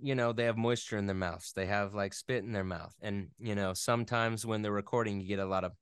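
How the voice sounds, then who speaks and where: A man in a casual interview, explaining things in a halting, conversational way as a guest expert. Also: fairly low pitch, steady tone, clear, resonant tone, dry recording, clean studio sound. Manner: halting, filler-heavy explaining with playful sound imitations. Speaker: interview guest, game audio editor and voice actor.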